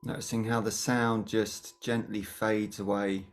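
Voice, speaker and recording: A meditation teacher leading a guided practice in a gentle, unhurried manner with long pauses between phrases. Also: medium pitch, little pitch movement.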